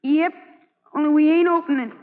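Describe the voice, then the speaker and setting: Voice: sits high, steady tone, fairly quick, close to an everyday voice. A performer playing an earnest, plaintive and polite young American frontier boy in an old-time radio drama. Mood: tearful, guilty. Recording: muffled old radio recording, long hall reverb.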